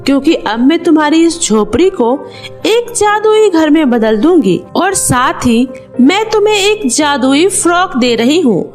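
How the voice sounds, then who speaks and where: A woman voicing a kindly magical fairy in a children's story, gentle and reassuring. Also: high-pitched, very animated, unhurried, soft background music, pitched below the performer's own voice.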